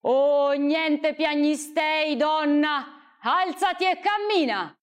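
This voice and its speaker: A woman voicing a greedy, domineering male bandit in a storybook reading, speaking with a raised, forceful voice. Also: high-pitched, slow, voice pushed up. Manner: domineering, commanding. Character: gruff menacing male bandit, bossy and greedy.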